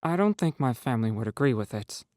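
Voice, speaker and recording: A man's voice: medium pitch, lively intonation, fast, darkish tone, dry recording, studio quality, natural voice. A man voicing a guarded, troubled teenage boy who speaks in a subdued, reluctant way in a storybook reading.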